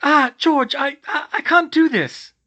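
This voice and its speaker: Young female storybook witch, earnest and urgent, raised pitch, sharp tone male narrator performing. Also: sing-song, normal speed, lo-fi sound, heavily acted. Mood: panicked.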